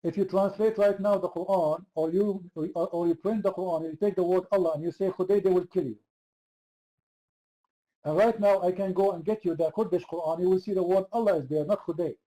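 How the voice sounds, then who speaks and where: A man's voice: sits high, fairly even intonation, fairly quick, dark tone, rough recording. A man hosting a heated online debate, pressing the same question again and again. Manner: insistent, repeats the same question. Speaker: debate host.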